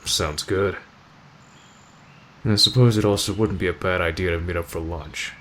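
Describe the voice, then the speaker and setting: A man playing an aloof, stoic teenage delinquent boy, curt and deadpan, in an ASMR roleplay addressed to the listener. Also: sits low, moderate pace.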